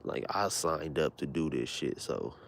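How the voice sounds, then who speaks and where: A young man with a deep voice, telling rambling, profane stories in a laid-back, casual way, keeping his voice low so he does not wake anyone at home at night. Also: expressive, measured pace, gravelly, slight background noise. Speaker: vlogger. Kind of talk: casual late-night storytelling.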